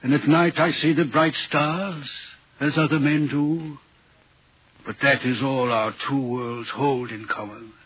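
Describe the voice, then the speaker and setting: An actor playing a lonely, brooding railway signalman in a 1940s radio horror drama, speaking in a grave, halting, guarded way. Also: medium pitch, steady tone, husky. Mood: brooding, troubled. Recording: muffled, noisy 1940s radio recording.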